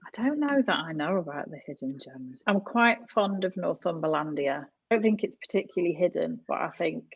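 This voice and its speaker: Gravelly, low-voiced woman chatting casually. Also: expressive, fairly quick, no room sound, lo-fi sound. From North West England. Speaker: podcast guest, printmaker. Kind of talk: casual interview chat.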